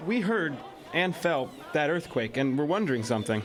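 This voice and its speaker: A man's voice: fairly high pitch, sing-song, moderate pace. A man playing an earnest, curious teenage schoolboy in an audio drama, with a questioning manner.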